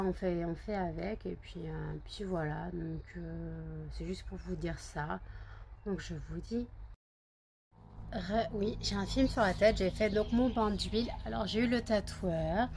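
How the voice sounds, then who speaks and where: A woman's voice: mid-range voice, a little mellow, a little hiss or hum. A woman vlogger chatting casually to camera, rambling with many fillers and repeated words. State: just woken up, groggy.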